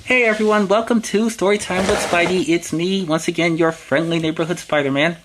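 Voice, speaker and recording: A man's voice: sits high, steady tone, fairly quick, noisy background. An American man hosting a story time for young viewers as a friendly superhero, speaking in an earnest, instructive way with simple phrasing. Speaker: storytime show host in character as a superhero.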